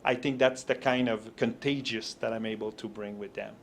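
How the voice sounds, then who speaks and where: A man's voice: lowish voice, steady tone, moderate pace, slight background noise. A man with a French-Canadian accent, a native French speaker, explaining his approach as a motivational speaker in an interview.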